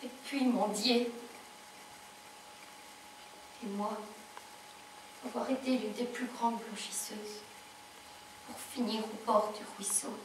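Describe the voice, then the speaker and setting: Echoey, high-voiced actress as a destitute laundress. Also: female voice, steady tone, unhurried, pauses often, close to an everyday voice. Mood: desperate, weary. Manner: dramatic storytelling, swinging from hushed to shouted. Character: Gervaise, destitute laundress, wistful, fiery, worn down. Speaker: actress performing a stage monologue. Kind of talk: theatrical French monologue with sung passages. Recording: echoey room from far off, faint noise.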